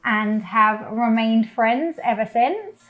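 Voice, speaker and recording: A woman's voice: fairly high pitch, expressive, takes it slowly, narrow, tinny audio, faint music behind. A British woman hosting a casual vlog, upbeat and laughing as she questions a friend. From Britain. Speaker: vlogger host.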